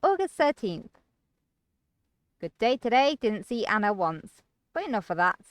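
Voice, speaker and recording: Woman streamer reading, slightly high voice. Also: sing-song, a little slow, no room sound. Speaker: game streamer. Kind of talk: expressive reading of visual novel narration with casual asides.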